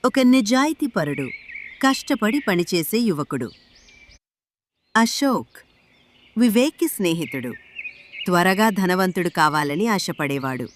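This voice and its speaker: Lively female storyteller. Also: medium pitch, slight background noise. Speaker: narrator. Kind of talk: scripted moral story narration.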